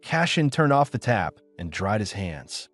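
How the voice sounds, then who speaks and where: A man's voice: on the low side, sing-song, a little slow, fairly bright tone, faint music behind. A man reading a dramatic scripted story as an audiobook narrator.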